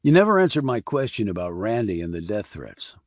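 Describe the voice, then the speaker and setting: Narrator as a low, terse military investigator. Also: male voice, very animated, brisk, no echo, studio quality. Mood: probing, matter-of-fact. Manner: clipped, direct questioning. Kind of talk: audiobook character dialogue.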